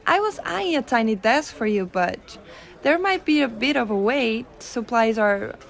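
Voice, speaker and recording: A high, very expressive female voice for a giantess secretary, acted by a woman in an ASMR roleplay. She is gentle and doting, coaxing the listener with soft reassurance and a teasing, quietly dominant edge. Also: a little slow, gravelly. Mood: helpful, lightly teasing.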